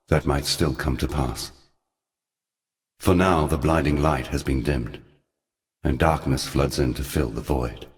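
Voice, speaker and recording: Sin, a very deep, somber ancient god. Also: male voice, in a large hall. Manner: solemn, deliberate, archaic and portentous phrasing. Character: Sin, ancient male god, somber, forgotten, weary protector of humanity. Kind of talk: scripted video game character dialogue.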